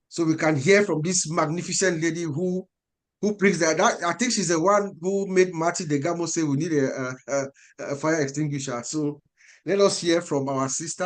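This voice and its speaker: Upbeat guy hosting a round table, thanking people, passing the floor. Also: fairly high pitch. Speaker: host.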